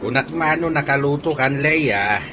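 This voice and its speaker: A man's voice: on the high side, lively intonation, normal speed, music in the background, close to an everyday voice. A man playing an easygoing older father and big brother in an Ilocano radio comedy drama, speaking expressively. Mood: dismissive. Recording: radio drama with clear background noise.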